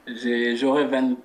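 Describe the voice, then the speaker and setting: Man, twenties, measured, religious interview guest. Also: mid-range voice. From Democratic Republic of the Congo. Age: 20s. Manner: measured, repeats words while searching for phrasing. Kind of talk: religious interview and spoken prayer.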